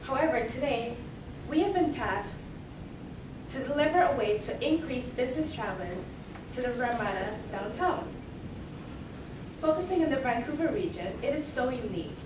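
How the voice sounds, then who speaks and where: A young woman from Mexico and Belize giving a formal, rehearsed student case presentation in a measured way. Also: animated. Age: young adult. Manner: measured, formal pitching with repeated "moving right along". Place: large echoey hall, heard from afar. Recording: distant echoey hall recording with noise.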